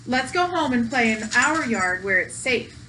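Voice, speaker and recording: A woman's voice: fairly high pitch, very animated, a little slow, bright, forceful tone, far from the mic, slight background noise, exaggerated voice, rough recording. A woman voicing a protective, firm but caring father of pet dogs in a children's storybook. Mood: gently scolding.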